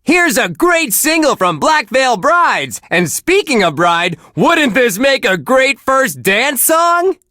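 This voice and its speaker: A relentlessly cheerful death metal DJ for a video game radio station. He gushes in a bright, wholesome voice with lively swings of pitch, unhurried and recorded dry and close. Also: no room sound, exaggerated voice. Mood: chirpy, enthusiastic. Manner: gushing, sunny, wholesome delivery. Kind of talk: upbeat radio host patter.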